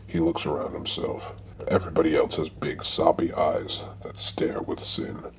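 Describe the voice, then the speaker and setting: A man reading scripted comic narration for an audio drama in a deadpan way. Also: rumbling low, moderate pace, slight background noise.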